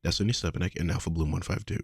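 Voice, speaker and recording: A man reads through a list of names for his audio channel. His voice is very deep and creaky, with a breathy rasp to it. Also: steady tone, racing, dry recording, studio quality. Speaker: audio roleplay creator. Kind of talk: reading a list of supporter names.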